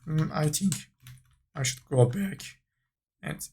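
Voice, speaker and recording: A man with a gravelly, husky voice talks through a software tutorial. He goes slowly, in short halting phrases, thinking aloud as he works through each step. His delivery is unscripted and instructional. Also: fairly high pitch, lively intonation.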